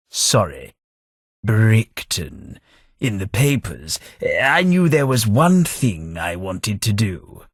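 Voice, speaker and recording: A low, husky, courteous Englishman. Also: dry recording, studio quality, exaggerated voice. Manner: measured, courteous, slightly wistful. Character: polite, reserved Englishman, former office clerk. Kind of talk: game character dialogue.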